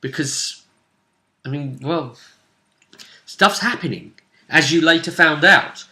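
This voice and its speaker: A man's voice: a little high, takes it slowly, sharp tone. A man speaking as game master, casually recapping a tabletop game session and often thinking aloud as he goes.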